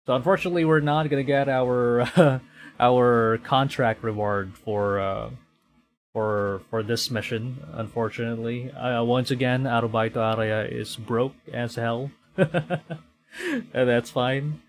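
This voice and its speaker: A man's voice: mid-range voice, steady tone, a little slow. A man from the Philippines hosting a livestream in casual chat, speaking hesitantly and often repeating and rephrasing his phrases. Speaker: VTuber livestream host. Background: music.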